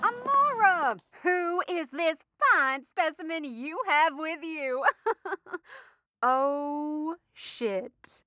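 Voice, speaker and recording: An actress in an audio drama playing a brazen, flirty best friend with sassy, theatrical delivery. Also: female voice, high-pitched, expressive, drawn-out words, pushed and bright, dry recording, muffled recording, exaggerated voice.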